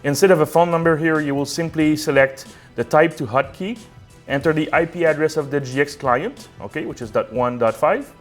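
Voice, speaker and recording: Man, fairly high voice, technical tutorial, unscripted, conversational, explanatory, frequent check-ins, faint music behind. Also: fairly quick. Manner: conversational, explanatory, often checks with "okay" and "all right". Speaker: technical support director presenting a tutorial. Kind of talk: unscripted step-by-step software tutorial.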